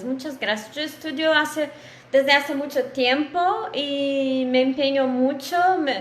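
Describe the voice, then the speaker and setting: A Brazilian woman with a Brazilian Portuguese accent speaking Spanish as a second language, greeting an online audience as a guest lecturer, halting with many fillers and restarts, over a video call. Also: high-pitched, fairly bright tone, reverberant, slight background noise.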